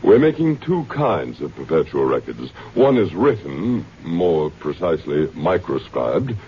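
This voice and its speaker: Deep-voiced, suave old scientist. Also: male voice, big pitch swings, unhurried, exaggerated voice. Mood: smug, didactic. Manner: formal, precise, smoothly condescending. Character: suave, learned old male scientist running a grand project. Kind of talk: old-time radio drama acting. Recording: muffled, noisy vintage radio recording.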